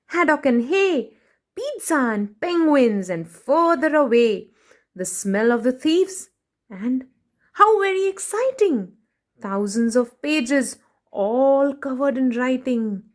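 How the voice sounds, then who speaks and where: A woman's voice: sits high, takes it slowly. A woman reading a children's storybook aloud expressively, breaking off to put questions to young listeners. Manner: animated, addresses children directly with questions.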